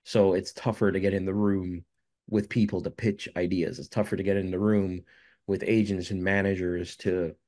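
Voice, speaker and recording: Very deep-voiced man from Jamaica. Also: level tone, moderate pace, warm tone. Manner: rambling, many fillers and restarts. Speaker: filmmaker guest. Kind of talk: casual interview answers.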